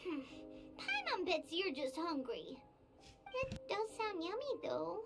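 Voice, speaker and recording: A tiny childlike fairy sidekick from a video game. She is squeaky and cheeky, her very high voice bouncing all over. Loud music sits behind her. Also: sing-song, slow, full character voice. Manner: squeaky, talks of herself in third person. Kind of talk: cartoonish video game voice acting.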